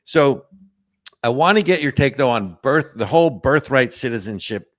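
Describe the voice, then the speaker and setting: A man's voice: mid-range voice, expressive, moderate pace, a bit bright, no room sound. A man hosting a casual political talk show, rambling with frequent restarts and asking "right?" and "you know". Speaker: host.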